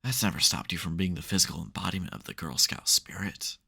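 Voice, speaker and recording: A man performs a male supervillain for an intimate ASMR roleplay. His voice sits very low, with a heavy gravelly creak. He comes across as wry and exasperated, with a caring streak under the theatrics. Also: animated, fast, sharp tone, heavily acted.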